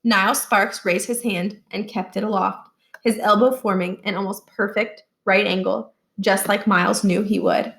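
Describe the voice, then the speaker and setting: A woman's voice: medium pitch, steady tone, moderate pace, vocal fry, fairly bright tone. Woman reading a children's story expressively. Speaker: read-aloud reader.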